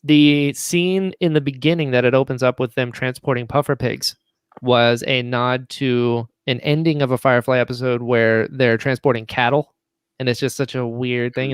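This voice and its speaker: A man talking casually as a podcast guest, with an animated, geeky enthusiasm. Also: medium pitch, normal speed, clean tone, no room sound, studio quality. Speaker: guest, audio drama producer.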